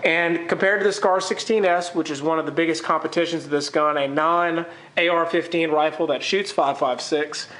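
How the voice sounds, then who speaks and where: Higher-voiced man with a cold, echoey room. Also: steady tone, normal speed, hardly any pauses, a bit bright. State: congested with a cold. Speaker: gun reviewer. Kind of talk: informal product review to camera. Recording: echoey, roomy sound.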